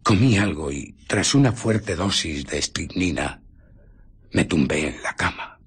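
Radio drama: irritable, obsessed scientist, Castilian Spanish, very husky, intense, widely swinging delivery. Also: male voice, sits low, expressive, a little slow, exaggerated voice. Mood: tense recollection. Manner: brooding, intense. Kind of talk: radio drama acting, first-person narration.

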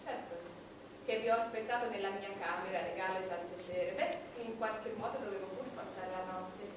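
A woman's voice: mid-range voice, moderate pace, close to an everyday voice. An actress playing an imperious, scornful, vulgar-tongued Queen of England in a theatrical stage monologue, with a commanding delivery. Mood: mocking. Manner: theatrical, commanding, swinging from hushed menace to loud outbursts. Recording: echoey, distant, noisy stage recording.

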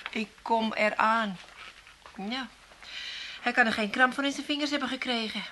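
Sardonic woman, bright, sharp voice. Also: on the high side, moderate pace, a little hiss or hum. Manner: sarcastic, deadpan. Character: sardonic, quick-witted daughter-in-law with dry jibes. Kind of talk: 1980s Dutch comedy radio play acting.

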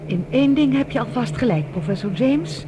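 A woman's voice: a little high, lively intonation, fairly quick, mellow, slight background noise, lightly acted. A woman playing an anxious, questioning space traveller in a science fiction radio drama. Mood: anxious, observant. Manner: questioning, protesting.

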